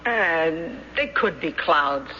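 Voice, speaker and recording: A no-nonsense, working-class landlady in an old radio play. She scolds fondly and cracks wry remarks in a piercingly sharp, forceful voice. The recording is slightly dull with faint noise. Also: deep voice, animated, slow pace, full character voice. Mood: dismissive, amused. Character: blunt, wisecracking working-class landlady, scolding but fond. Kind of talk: radio drama acting.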